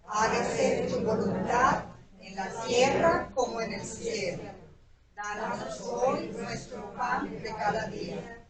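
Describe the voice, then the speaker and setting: A woman hosting a community event, addressing a gathered audience in an echoey indoor hall. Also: on the high side. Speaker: event host at a cultural institute. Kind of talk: welcoming an audience, bilingual prayer and remarks. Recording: echoey room, distant mic, loud crowd.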